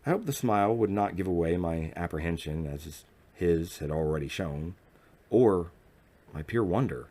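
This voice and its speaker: A man narrating an audiobook in the first person, with a measured, dramatic reading manner. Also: sits low, animated, warm tone.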